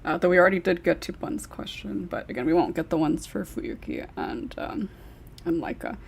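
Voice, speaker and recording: A woman's voice: lowish voice, lively intonation, moderate pace, vocal fry, a little hiss or hum. A woman hosting a podcast in casual panel chat, reading out questions and giving her own answers. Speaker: podcast host and script writer.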